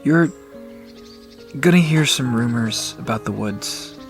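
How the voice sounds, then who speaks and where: A man playing a gentle, guarded young man in an audio drama, speaking politely and with hesitation. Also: mid-range voice, animated, takes it slowly, soft background music. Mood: awkward, careful. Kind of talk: audio drama character acting.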